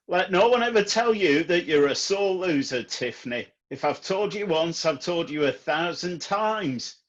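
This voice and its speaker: Man acting a husky, blunt boss. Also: high-pitched, animated, a little slow, dull audio. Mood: scornful. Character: blunt, scolding male music manager, irritable son. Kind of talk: comedy radio play acting.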